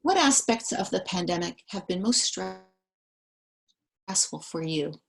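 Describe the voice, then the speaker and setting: A woman conducting a structured survey interview, calmly reading questions and summarizing the answers back. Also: fairly low pitch, a little slow, vocal fry. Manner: calm, methodical, reads questions and summaries back.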